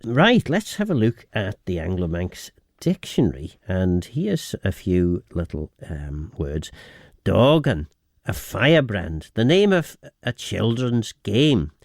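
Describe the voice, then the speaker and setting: A man's voice: fairly low pitch, very animated, takes it slowly, dry recording, studio quality. A man presenting on radio, reading and explaining dictionary entries in a chatty way.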